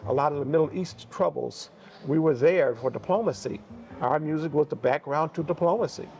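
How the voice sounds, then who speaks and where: Older male guest in his sixties, from Buffalo, fairly high voice for a man, reflective storyteller. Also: fairly quick, gravelly, darkish tone, highs cut off. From Buffalo, New York. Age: 60s. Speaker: guest musician. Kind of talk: interview conversation.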